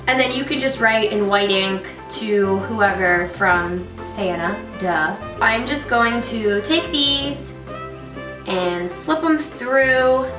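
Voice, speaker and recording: A young woman with a stuffed-up, nasal voice, joking her way through a casual kitchen tutorial. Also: mid-range voice. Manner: bubbly and jokey. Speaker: vlogger. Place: home kitchen, loud stove. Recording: roomy, mic at a distance, music behind.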